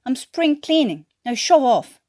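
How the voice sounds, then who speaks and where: A woman's voice: high voice, big pitch swings, measured pace, darkish tone, dry recording, studio quality, voice pushed up. A woman voicing an irritable, cheeky male cockney corporal in a story reading, curt and snapping. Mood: curt, irritated.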